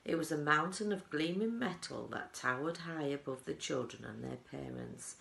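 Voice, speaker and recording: A woman's voice: low voice, normal speed, vocal fry, a bit bright. A woman reading a children's story aloud as a teaching assistant, expressively leaning on the descriptive words. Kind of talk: storybook narration, read aloud. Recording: roomy, echoing indoor sound.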